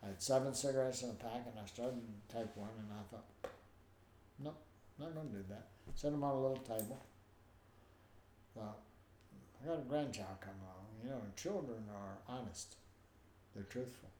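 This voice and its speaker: An older man with a noticeably nasal voice tells stories as a guest, lively and acting out the people he quotes. His voice rings in a roomy space with a faint hum of ambience behind it. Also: medium pitch, moderate pace, long pauses. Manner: animated, acts out quoted voices. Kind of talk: storytelling in a conversation.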